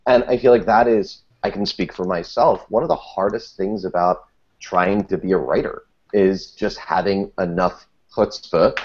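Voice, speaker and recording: A man's voice: low-pitched, moderate pace, darkish tone, muffled recording. A man talking animatedly and self-deprecatingly as a guest in a casual panel discussion. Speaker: podcast guest.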